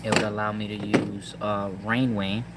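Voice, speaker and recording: A man with a Puerto Rican accent talks to his viewers in a low, fairly flat voice, rambling and stopping with frequent ums. A steady hum of room noise sits clearly behind him. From Puerto Rico. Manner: halting, many ums and restarts. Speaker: tech vlogger. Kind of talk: casual rambling tech talk to viewers.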